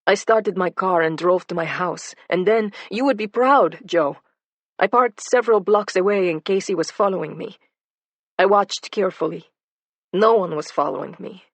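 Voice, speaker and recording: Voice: medium pitch, normal speed, dry recording, lightly acted. A reader doing a Bosnian woman, earnest. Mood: earnest, anxious. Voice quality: heavy accent, earnest. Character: Bosnian woman witness, fearful but determined.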